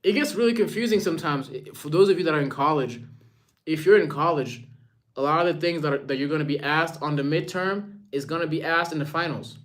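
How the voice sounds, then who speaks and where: A man's voice: a little high, brisk. Casual young man chatting on a live stream. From Rwanda. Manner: informal, slangy, repeats himself.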